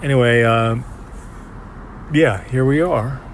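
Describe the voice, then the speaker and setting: An actor as an uneasy, reflective man in an audio drama, revisiting a place from his past. His mid-pitched voice rambles naturally, halting and correcting itself as he records his thoughts. Clear urban noise and traffic ambience sit behind him. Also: close to an everyday voice. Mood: wistful, puzzled. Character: uneasy, reflective man revisiting a creepy old apartment building. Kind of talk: naturalistic monologue, recording thoughts while on the move.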